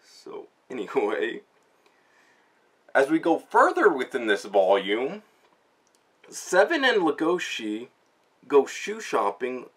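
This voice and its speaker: A man's voice: mid-range voice, sing-song, takes it slowly, pauses often, fairly bright tone, husky. A man giving a casual, rambling review in a chatty vlog style, speaking fondly and enthusiastically about a story. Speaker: book and manga reviewer.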